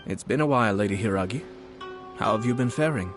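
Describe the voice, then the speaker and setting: A man playing a composed, shrewd young nobleman in a video game, speaking formally and deliberately. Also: fairly low pitch, expressive, close to an everyday voice. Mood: gracious. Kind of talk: video game character acting. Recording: faint music behind the voice.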